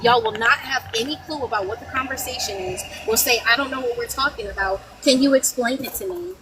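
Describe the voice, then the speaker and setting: A woman panelist with a soft voice, speaking firmly and emphatically in a heated debate. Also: on the high side, a little fast, background noise. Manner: firm, lecturing, emphatic.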